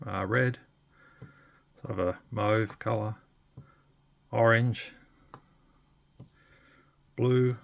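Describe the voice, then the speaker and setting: A man's voice: medium pitch, fairly even intonation, extremely slow, creaky, long pauses. A man giving a casual, unscripted hands-on demonstration, hesitant and thinking aloud as he goes. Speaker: hobbyist demonstrating radio equipment.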